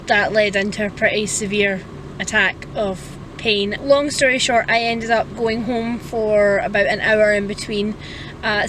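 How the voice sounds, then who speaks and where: A woman's voice: fairly high pitch, fairly bright tone, a little hiss or hum, low-quality recording. A woman chatting casually and candidly to camera as a vlogger, a little self-deprecating. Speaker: book vlogger. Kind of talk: casual vlog chat to camera.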